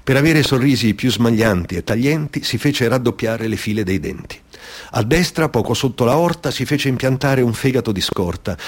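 A man giving a theatrical reading of a satirical ballad, emphatic and rhythmic, with a mocking storyteller's delivery. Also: medium pitch. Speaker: author reading his own satirical ballad.

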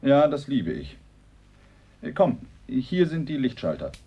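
An actor playing a quietly sinister, understated husband with a halting way of talking in a radio play. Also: male voice, medium pitch, huge pitch swings, breathy edge, highs cut off, full character voice. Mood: coldly satisfied. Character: quietly sinister, hesitant widower-to-be, plotting husband. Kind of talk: German radio play acting.

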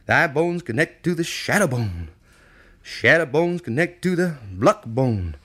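A man's voice: a little high, big pitch swings, measured pace, fairly bright tone. A man giving a rhythmic recital of poetry.

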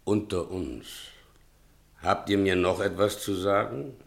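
An actor playing a sly manservant in a vintage German radio play, dry and deliberate, respectful yet needling. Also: male voice, sits low, vocal fry, exaggerated voice. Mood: sly, deferential. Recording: vintage 1960 radio drama recording.

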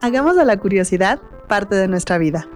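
A woman's voice: mid-range voice, animated, a little fast, faint music behind. Mexican woman hosting a radio chat. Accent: central Mexican. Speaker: radio host. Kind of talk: casual radio interview hosting.

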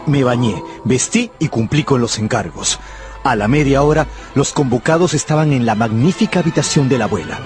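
An actor playing a deferential young tutor who narrates a radio drama in a formal, literary way. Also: male voice, mid-range voice, fairly quick, darkish tone, lightly acted. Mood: narrating. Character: young tutor of twenty-five, recounting events, deferential. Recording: music behind the voice.

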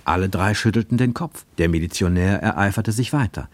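Male narrator for literary prose, low-pitched voice, very dry studio sound. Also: fairly quick, no room sound. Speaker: narrator reading a literary story.

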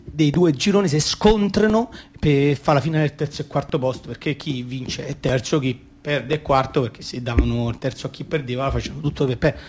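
A man's voice: medium pitch, fairly quick, few pauses, a little mellow, slight background noise, close to an everyday voice. A man playing a naive, football-mad little boy in a comic radio play, rambling and stumbling in a colloquial Roman accent. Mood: rambling, muddled. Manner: colloquial, stumbling, self-correcting. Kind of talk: comic radio theatre monologue.

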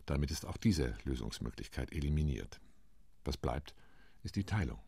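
Male actor as a middle-aged UN delegate for a radio drama: deep, raspy, steady reporting tone. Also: no room sound, heavily acted. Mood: measured. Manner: measured, reporting. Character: sober male diplomat recounting committee talks. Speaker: UN commission delegate. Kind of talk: radio play monologue.

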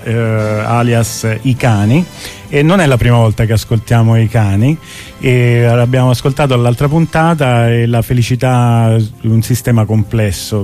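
A man's voice: mid-range voice, darkish tone. A man, presenting casually as a radio co-host. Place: radio studio. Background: faint music.

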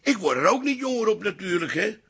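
An actor playing a teasing, grumbling older father-in-law in a radio drama, blunt and husky. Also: high voice, big pitch swings, brisk, exaggerated voice. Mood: soft, pleading. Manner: blunt, sardonic, loud.

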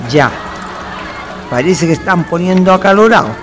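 An actor playing a bossy, slangy tavern companion with a Chilean accent in a radio drama. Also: male voice, high-pitched, animated, moderate pace, faint music behind. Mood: teasing. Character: gruff impatient male tavern drinking companion.

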